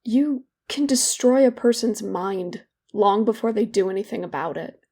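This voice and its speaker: An actress as a young woman in therapy, weighed down by guilt and edged with bitter wit. Her voice is soft-edged and dark, at an ordinary female pitch. She speaks in a halting, candid way, as in a naturalistic audio play. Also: husky. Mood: guilty, bitter. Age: young adult. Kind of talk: naturalistic audio drama dialogue.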